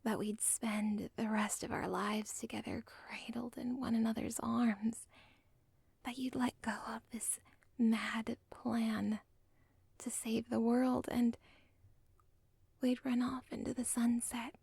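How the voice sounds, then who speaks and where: A young woman voicing an obsessive villain's daughter in an ASMR roleplay, rambling in soft whispered asides that break the fourth wall. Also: a little high, little pitch movement, slow pace, vocal fry, breathy edge, dry recording, full character voice. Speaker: audio roleplay performer.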